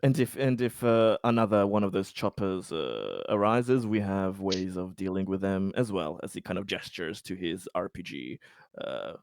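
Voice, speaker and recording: A man's voice: low voice, normal speed, vocal fry, a little mellow, no room sound, studio quality. A man playing a tabletop game, chatting casually and often searching for his words. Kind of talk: casual chat during a roleplaying game.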